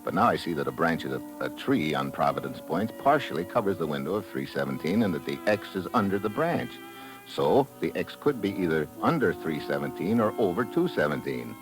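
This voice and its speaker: Tough radio detective, very low voice, dry, clipped, hard-boiled, vintage thriller style. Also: male voice, very animated, brisk, music in the background. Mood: brooding narration. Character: cool, tough male investigator on assignment, narrating his case.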